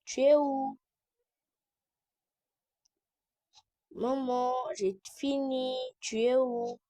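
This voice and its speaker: A woman's voice: high-pitched, fairly even intonation, slow pace, warm tone, pitched above the performer's own voice. A woman voicing a young, demanding daughter who insists and repeats herself in a family skit. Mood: calling out.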